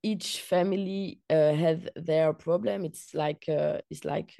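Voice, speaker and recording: Low mellow voiced woman from belgium, lots of uh and restarts, dry close sound. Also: steady tone, creaky, no room sound. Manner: halting, many fillers and restarts. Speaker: filmmaker. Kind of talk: interview answers.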